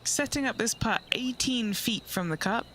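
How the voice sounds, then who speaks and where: A woman's voice: fairly high pitch, lively intonation, moderate pace, a bit bright, slight background noise. A woman playing an encouraging on-course golf reporter who comments briefly in a video game.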